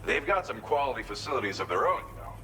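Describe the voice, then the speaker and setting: A man playing an earnest, grateful researcher in a video game cutscene, explaining things in a measured, conscientious way. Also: medium pitch, very animated, sharp tone, slight background noise, rough recording. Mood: explanatory, keen. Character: earnest, grateful male researcher and weapons inventor briefing a courier.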